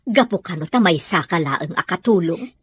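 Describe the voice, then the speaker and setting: A woman's voice: fairly low pitch, animated, moderate pace, husky, no room sound, pitched below the performer's own voice. A woman acts a stern, weary mother with an Ilocano accent. The voice is dropped well below the performer's usual talk, exasperated, in podcast comedy-drama style.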